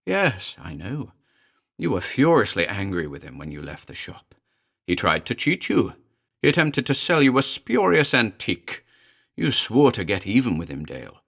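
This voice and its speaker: A man voicing a small, malign male demon familiar who speaks in a shrill, mocking, leering way. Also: big pitch swings, normal speed, creaky, a bit bright, full character voice. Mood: gloating.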